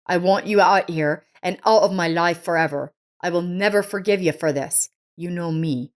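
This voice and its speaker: A woman's voice: on the low side, moderate pace, lightly acted. An earnest grown son voiced by a female storyteller speaks firmly and plainly. He sounds resolute, in the manner of an audio life story. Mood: firm, hurt. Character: resolute grown son, protective of his mother.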